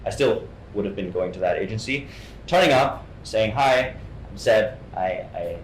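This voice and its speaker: Low-voiced young man with frequent restarts. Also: expressive, unhurried. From Hong Kong. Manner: repeats words and restarts often. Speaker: guest actor. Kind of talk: casual interview answers. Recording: roomy sound with faint noise.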